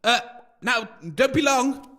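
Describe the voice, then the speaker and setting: A man's voice: extremely high pitch, steady tone, slow pace, sharp tone, heavily acted. A man playing a grumpy, theatrical radio co-host on an adventure in an audio comedy, grumbling and melodramatic. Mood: exasperated. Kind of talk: scripted audio comedy acting.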